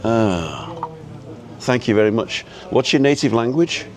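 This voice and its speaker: A man with an easygoing, chatty and halting way of talking, casually rambling as he hosts a live vlog. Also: medium pitch, expressive, a crowd in the background. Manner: easygoing, chatty, halting as he reads and answers viewer comments. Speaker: live-streaming vlogger and host. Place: outdoors at a restaurant overlooking the road and ocean.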